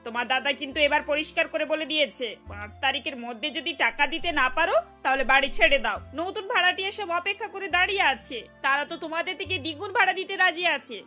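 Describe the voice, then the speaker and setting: Woman playing a shrill, scolding landlady. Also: fast talker, bright, forceful tone, soft background music. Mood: stern warning. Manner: scolding, curt, threatening. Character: harsh, impatient landlord's wife demanding rent. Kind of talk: cartoon voice acting.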